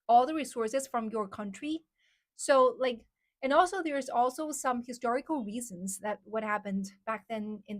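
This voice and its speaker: A young woman from China with a Chinese accent, chatting casually on a livestream and answering viewers' questions, with lots of "like" fillers. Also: a little high. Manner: chatty, many "like" fillers, reads out comments. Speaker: live streamer.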